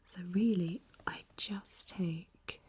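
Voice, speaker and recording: A young woman speaking very softly in a gentle, halting ASMR makeup chat, her whispery voice slightly croaky and hoarse after an illness. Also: on the low side, slight background noise. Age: young adult. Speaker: ASMR vlogger.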